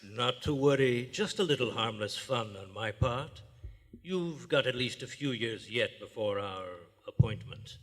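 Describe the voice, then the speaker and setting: A man's voice: mid-range voice, measured pace, fairly bright tone. A man playing the angel of death as a formal, macabre radio horror host with dry playfulness, live on stage. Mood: sly. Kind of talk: 1940s radio acting, horror show introduction. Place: large theater stage, live performance. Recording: live theater stage, long hall reverb.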